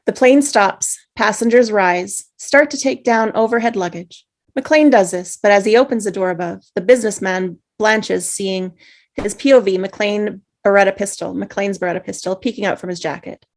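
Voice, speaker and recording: A woman reads scene and action lines aloud at a remote table read. Her pitch is middling and her reading steady and measured, heard through a video call. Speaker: narrator reading stage directions.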